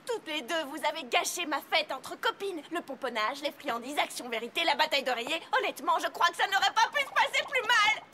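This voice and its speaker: An actress voicing an earnest, bookish young pony mare hosting a sleepover in a French cartoon dub, fretful at times. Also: female voice, very high-pitched, sing-song, a little fast, few pauses, slight background noise, heavily acted. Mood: exasperated. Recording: loud music under dialogue.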